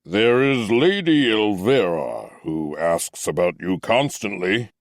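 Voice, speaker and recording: A stern, fatherly captain, as a male narrator puts him on, speaking slowly and deliberately in a deep, low voice. He sounds measured and gently reproving. The recording is dry and close, with no room sound. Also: very animated, no room sound, exaggerated voice. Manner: slow, measured, gently admonishing. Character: stern but kindly male captain, middle-aged. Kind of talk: audiobook character voice.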